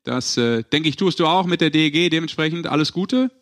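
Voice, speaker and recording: A man's voice: fairly high pitch, fairly quick. A man hosting a sports podcast, chatting casually in a rambling, colloquial way.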